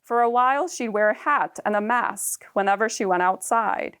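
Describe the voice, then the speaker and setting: A young woman whose first language is Japanese, reading her own personal prose aloud in a calm, measured and reflective way. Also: mid-range voice, clean tone.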